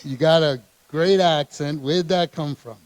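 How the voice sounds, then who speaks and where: A man hosting an interview in a gym, asking questions with many fillers and quick agreements. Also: on the high side, clear, resonant tone, dry recording. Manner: hesitant, uses many fillers and short agreements. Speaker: host, interviewer. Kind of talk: casual interview.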